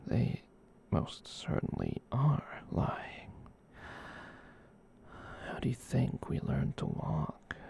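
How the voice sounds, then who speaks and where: A performer as a gentle giant catboy, slow creaky whisper. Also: medium pitch, exaggerated voice. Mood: reassuring, gentle. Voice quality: soft, breathy whisper with creaky edges. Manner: slow, tender, reassuring rambling with cat-speak. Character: gentle giant furry catboy comforting someone tiny. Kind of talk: whispered ASMR roleplay.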